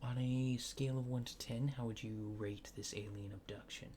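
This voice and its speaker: A man doing soft-spoken, partly whispered ASMR, playing a laid-back alien abductor asking casual, hesitant survey questions in a deadpan way. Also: mid-range voice, moderate pace, slight background noise. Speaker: ASMR creator.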